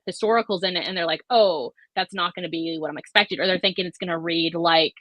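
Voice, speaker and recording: A woman's voice: on the low side, steady tone, quick pace, fairly bright tone, no room sound, poor audio. A woman co-hosting a casual livestream chat, conversational and opinionated, often checking in with "you know". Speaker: livestream co-host. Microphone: video call livestream.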